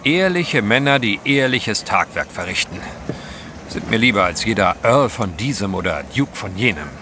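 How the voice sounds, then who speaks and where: Gentleman adventurer, male actor: mid-pitched, animated, jovial polish, radio drama with outdoor noise. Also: normal speed, close to an everyday voice. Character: cheerful gentleman adventurer, genteel and enthusiastic. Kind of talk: audio drama acting. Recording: clear outdoor ship ambience behind.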